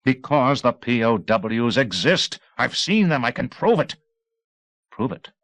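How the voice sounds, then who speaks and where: A man reading the part of a brooding, hardened war veteran in an audiobook, terse and deliberate. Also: mid-range voice, big pitch swings, fairly bright tone, dry recording, clean studio sound, pitched above the performer's own voice. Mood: brooding, frustrated.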